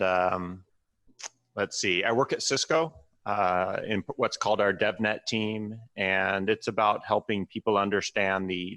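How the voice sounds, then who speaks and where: A man's voice: fairly low pitch, a bit bright, dry recording. A man introducing himself at a conference, upbeat and eager, with a few restarts. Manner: upbeat and enthusiastic, some restarts. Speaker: conference presenter.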